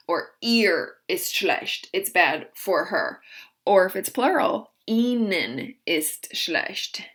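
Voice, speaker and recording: A woman teaching a language lesson, slowly modeling each phrase and then explaining it. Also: mid-range voice, bright, forceful tone. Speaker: German teacher. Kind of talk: recorded vocabulary lesson.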